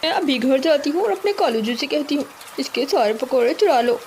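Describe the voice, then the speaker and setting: A spiteful, greedy female crow from a cartoon fable, acted by a woman. She speaks in a high voice, nagging and scolding as she demands what she wants. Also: lively intonation, fairly quick, a little mellow, slight background noise. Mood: resentful envy.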